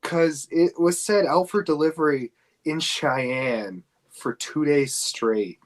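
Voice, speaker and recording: A man's voice: a little high, slow pace, noisy background. A man co-hosting a podcast, chatting casually and jokingly in slangy speech. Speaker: podcast co-host.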